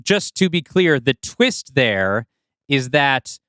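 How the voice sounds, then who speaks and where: A man chats in an easygoing, self-deprecating way as a podcast co-host, at a medium pitch. His voice comes through smooth and clear. The sound is close and dry. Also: sing-song, takes it slowly, sharp tone, no room sound. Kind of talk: casual podcast chat.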